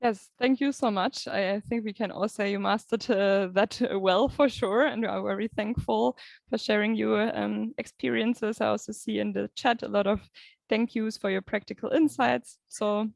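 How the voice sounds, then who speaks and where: A moderator speaks, friendly and laughing lightly between phrases. The voice has a gravelly edge at a middle pitch. The sound is dry and close, with no room around it. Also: fairly even intonation, moderate pace, no echo. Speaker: event moderator. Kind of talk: moderating an online event. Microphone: video call.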